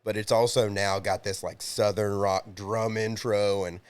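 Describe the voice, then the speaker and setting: A man's voice: lowish voice, level tone, measured pace, clear, resonant tone. A man, the lead singer of a band, chatting as a guest on a podcast in a laid-back, joking way. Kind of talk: casual chat. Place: home garage studio.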